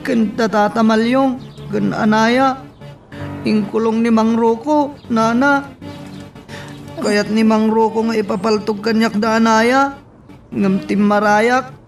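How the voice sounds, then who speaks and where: A man's voice: high voice, fairly even intonation, slow, pauses often, distant mic, soft background music. An actor playing a distraught young man in love who pleads plaintively with an older woman in an Ilocano radio drama. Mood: anguished. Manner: plaintive, emotional.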